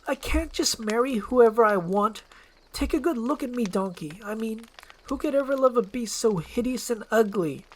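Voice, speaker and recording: A woman's voice: a little high, animated, normal speed, darkish tone, a little hiss or hum, voice pushed up, natural voice, rough recording. A woman voicing an anguished young princess under a curse, earnest and pleading in a dramatic storytelling roleplay. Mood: despairing.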